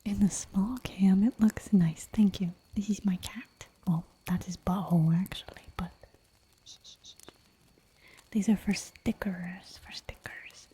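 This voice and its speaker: A woman whispering softly for an ASMR livestream, chatting in a giggly, rambling way while she draws at home. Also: mid-range voice, steady tone, slow, a little hiss or hum. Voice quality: soft whisper throughout. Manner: giggly, rambling, playful asides.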